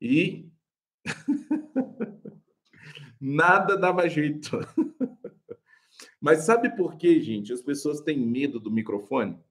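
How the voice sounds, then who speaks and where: A young adult Brazilian man, a pastor, teaches a live class on preaching. His fairly high voice swoops and jumps with lively energy, and he chats with his viewers and laughs often. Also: extremely slow, long pauses. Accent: Brazilian Portuguese. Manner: animated, chatty, laughs often, addresses viewers as "gente".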